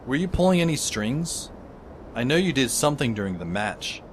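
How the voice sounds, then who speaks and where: An actor playing a dry, sardonic teenage schoolboy who narrates an audio drama in the first person. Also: male voice, mid-range voice, very animated, moderate pace, slight background noise, lightly acted. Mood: reflective. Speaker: narrator and lead character. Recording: music under the voice.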